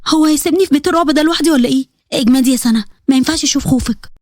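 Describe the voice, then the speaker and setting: A woman voicing a sarcastic, grieving young bride in an audio story, with sharp, indignant delivery. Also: high-pitched, racing, dry recording, clean studio sound, close to an everyday voice. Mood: frightened.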